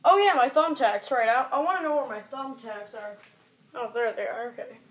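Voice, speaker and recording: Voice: medium pitch, moderate pace, fairly bright tone. A young teenager rambling casually through an improvised tutorial vlog, muttering and swearing, recorded in a small bedroom on a camera mic. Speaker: amateur video maker. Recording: echoey, noisy amateur home recording.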